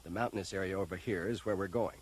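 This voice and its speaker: An actor in a science fiction audio drama playing a resolute, practical man leading an escape, brisk and commanding. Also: low voice, slight background noise, natural voice. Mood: calm, explaining.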